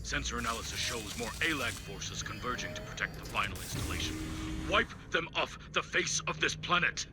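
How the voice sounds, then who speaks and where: An actor playing a stern senior military officer in a video game, issuing imperious, formal orders. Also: male voice, medium pitch, expressive, fairly quick, heavy background noise, lightly acted. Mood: commanding. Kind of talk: scripted video game dialogue. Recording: loud music and battle explosions behind.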